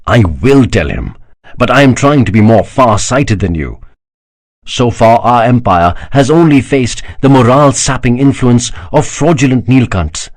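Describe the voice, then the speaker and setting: A man's voice: medium pitch, pitched above the performer's own voice. A man doing a fervent regal emperor. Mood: measured. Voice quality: solemn, passionate, rising to fervor. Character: proud emperor, older man, fervent believer.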